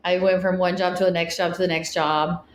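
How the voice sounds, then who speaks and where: A woman's voice: fairly low pitch, little pitch movement, brisk, echoey room, slight background noise. A woman in her thirties from Mexico, Spanish her first language, co-hosting a podcast with blunt, dry jokes. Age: 30s. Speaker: podcast co-host. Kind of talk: casual chat.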